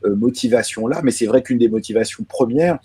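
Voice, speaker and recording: A man in his late thirties, born in Lebanon, answering interview questions over a video call in a reflective, measured way. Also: mid-range voice, mellow, husky. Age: 30s. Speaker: author guest. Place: home in the evening, remote.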